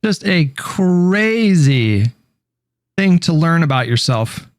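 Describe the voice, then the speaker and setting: A man telling a story casually in a halting, informal way with some swearing, at times reading aloud. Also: on the high side, big pitch swings, no echo, clean studio sound.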